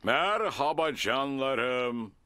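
A man's voice: mid-range voice, expressive, very slow, heavy background noise. A man playing a jolly, blustering old grandfather dog who talks like a theatrical pirate captain in a cartoon. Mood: hearty, blustering. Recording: music behind the voice.

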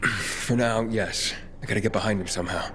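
A man's voice: lowish voice, fairly even intonation, fairly quick, sharp tone. An actor playing a young male survivor hero in a video game, tense, clipped and sweary. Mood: guarded.

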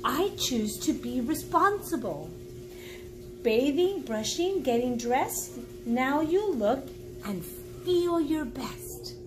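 A woman in her forties reading a children's book aloud like a teacher, encouraging and pausing to explain and ask the listener questions. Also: high voice, expressive, background noise. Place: small indoor room at home.

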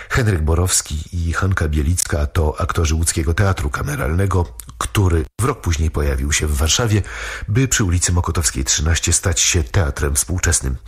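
A man presenting radio history in measured, scripted narration. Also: low-pitched, husky. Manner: measured, informative.

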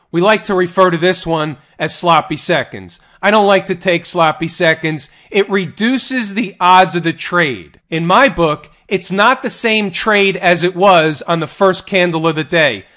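A man giving an energetic voice-over walkthrough of stock charts, asking rhetorical questions as he teaches. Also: sits high. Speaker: trading educator. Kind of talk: stock market chart analysis. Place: voice-over on screen recording.

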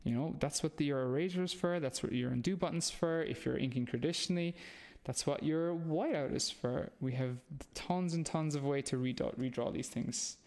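A man's voice: a little high, moderate pace, darkish tone. A man in his thirties with an Irish accent, a comic artist giving a chatty, encouraging live drawing lesson from home while he sketches. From Ireland. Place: small dim room at home, indoors.

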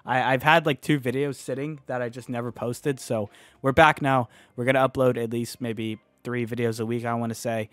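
Young man with a cold, chatty and excitable. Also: medium pitch, normal speed, dry recording. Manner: chatty, excitable, swings to muttering. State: hoarse, losing his voice. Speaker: online gambling video creator. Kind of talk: casual gameplay commentary. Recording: faint music behind.

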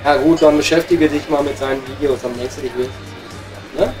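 A man's voice: fairly high pitch, fairly even intonation, brisk, lightly acted. A man playing a chatty, teasing coal miner with a Ruhr accent, bantering loosely in an improvised comedy audio play. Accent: Ruhr area German. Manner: colloquial, rambling banter. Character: chatty, teasing male coal miner at his local pub. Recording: prominent music behind the voice.

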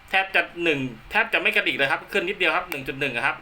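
A man's voice: fairly high pitch, rapid-fire, pushed and bright, slight background noise. A man giving a casual hands-on demonstration as a radio hobbyist, recorded indoors at home. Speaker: amateur radio hobbyist presenting his own video.

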